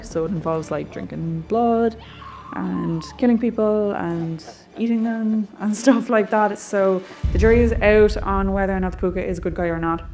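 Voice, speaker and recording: A woman's voice: on the low side, warm tone, slight background noise. Chatty Irish woman vlogging outdoors. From Ireland. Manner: chatty, storytelling, lots of "like" fillers. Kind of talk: casual outdoor travel vlog. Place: outdoors in countryside.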